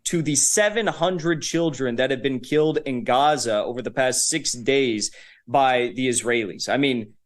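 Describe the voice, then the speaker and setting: Young male guest commentator, mid-range pitch, casual and emphatic delivery. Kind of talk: political commentary.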